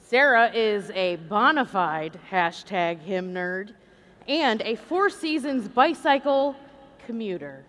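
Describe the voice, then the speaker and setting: A woman's voice: mid-range voice, animated, takes it slowly, fairly bright tone. A woman co-hosting a podcast in front of people, with live stage banter. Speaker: podcast co-host. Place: large convention hall stage.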